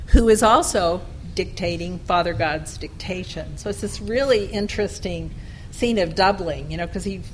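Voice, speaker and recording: A woman giving a conference presentation, reading her paper in a measured way at a podium microphone. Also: mid-range voice, a bit bright, slight background noise, poor audio. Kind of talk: academic presentation and Q&A. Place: lecture room.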